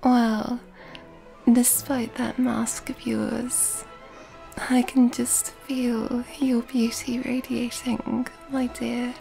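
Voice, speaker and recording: Woman acting as refined female vampire, ASMR roleplay, mellow half-whisper, very even pitch, courtly, flirtatious, close-miked. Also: exaggerated voice, low-quality recording. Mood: flirtatious, inviting. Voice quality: soft, breathy, partly whispered. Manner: refined, old-fashioned courtly phrasing, unhurried. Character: elegant, flirtatious, mysterious female vampire at a masquerade ball. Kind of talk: ASMR roleplay, soft and intimate. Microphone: close microphone. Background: music.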